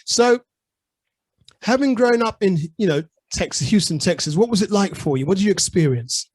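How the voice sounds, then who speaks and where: A man hosts an interview show with eager, gushing praise, leaning on "you know" constantly. His voice is high for a man. The sound is dry and close. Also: lively intonation, moderate pace, darkish tone, husky, dry recording. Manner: enthusiastic, repeats "you know", says "brilliant". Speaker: radio show host. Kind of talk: interview hosting.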